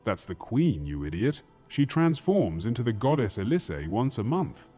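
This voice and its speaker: A blustering castle warrior, acted by a man in a fantasy audio drama. He is crude and mocking, with a mid-pitched, highly animated delivery over faint music. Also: moderate pace. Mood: blunt, scornful. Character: gruff, foul-mouthed, loyal hot-headed castle warrior man.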